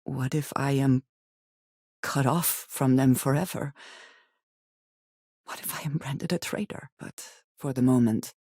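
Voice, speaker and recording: An actress playing a guarded, earnest woman space adventurer in a video game, speaking in measured, formal phrases with few contractions and a dry wit. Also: extremely low pitch, warm tone, dry recording, exaggerated voice. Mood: earnest, troubled. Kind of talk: video game character acting.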